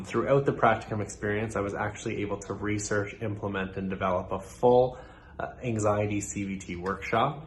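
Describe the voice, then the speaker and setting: A young man from Nova Scotia, a social work student giving a prepared presentation in an earnest, measured way with occasional fillers. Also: on the low side, a little hiss or hum. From Nova Scotia, Canada.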